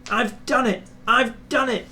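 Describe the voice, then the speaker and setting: A man's voice: very high-pitched, fairly even intonation, measured pace, bright, forceful tone, slight background noise, pitched above the performer's own voice, exaggerated voice. A man voicing a young male steam engine in a children's storybook, an earnest underdog with a puffing delivery. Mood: triumphant.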